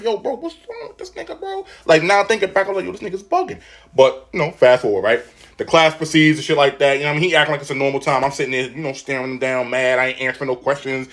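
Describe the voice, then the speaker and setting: A man telling a story in casual, slangy, animated style, often checking in with the listener. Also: mid-range voice, quick pace.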